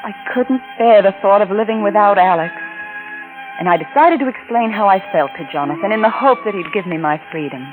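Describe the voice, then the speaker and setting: Actress as a confiding wife in vintage radio drama. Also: female voice, mid-range voice, animated, brisk, narrow, tinny audio, lightly acted. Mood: confessional, brooding. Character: unfaithful wife confessing a secret love, brooding, romantic. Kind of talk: 1940s radio drama acting, first-person narration. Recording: vintage radio recording with music.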